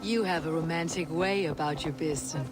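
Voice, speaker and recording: A woman's voice: low voice, moderate pace, music in the background, close to an everyday voice. An actress playing a proud, blunt Norse warrior woman in a video game, speaking in a terse, assured, stoic way. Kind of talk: video game drama acting.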